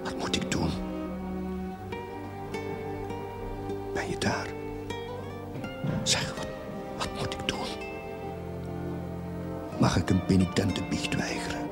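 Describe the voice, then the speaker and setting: A low-voiced troubled village priest in a TV period drama. He has a Dutch accent and speaks in short, hushed, uneasy phrases, defensive in tone, under loud music. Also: level tone, a little slow, pauses often. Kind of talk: television period drama acting. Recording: loud music over television soundtrack.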